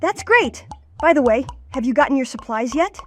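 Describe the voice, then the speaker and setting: A woman's voice: high voice, expressive, normal speed, very noisy. A woman voicing a bold, earnest young boy who leads his friends in a comic dub. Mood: upbeat.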